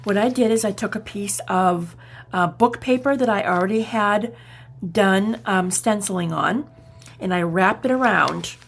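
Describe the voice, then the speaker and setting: Slow chatty craft lady, bit raspy n creaky, halting show and tell style. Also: medium pitch, a little hiss or hum. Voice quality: slightly raspy morning voice. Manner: unhurried, chatty, halting as she shows items. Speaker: craft vlogger.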